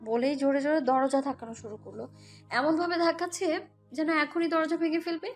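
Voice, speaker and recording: A woman's voice: sits high, fairly even intonation, brisk. A woman playing a hurt, defiant young mother who narrates her own romantic audio story, with an emotional, scolding manner. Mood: uneasy narration. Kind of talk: first-person Bengali audio story narration and dialogue. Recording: music bed behind the voice.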